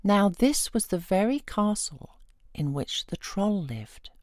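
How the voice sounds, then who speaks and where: A woman reading a fairy tale aloud as an expressive storyteller. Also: mid-range voice, slow, no echo, studio quality.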